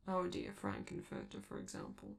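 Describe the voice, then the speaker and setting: Woman acting as flamboyant robot celebrity, ASMR roleplay, affectionate, vain, theatrical asides, very animated intonation, creaky fry. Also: on the low side, exaggerated voice. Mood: playfully vain. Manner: theatrical, doting, halting asides.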